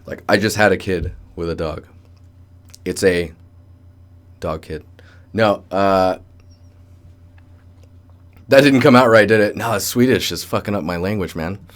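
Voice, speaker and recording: A man's voice: sits low, a little slow, pauses often. A young man hosting a casual livestream, rambling and joking in a colloquial way as he gives commentary. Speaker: livestream host, digital artist. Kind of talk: casual livestream chat and portfolio critique. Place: indoor room with door closed.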